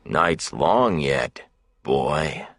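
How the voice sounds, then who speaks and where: A male narrator plays a big, mean tavern heavy from a fantasy audiobook. He taunts in a slangy, menacing drawl that swings above a deep natural register, recorded close and dry. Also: big pitch swings, extremely slow, creaky, a bit bright, dry recording, full character voice. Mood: mocking taunts.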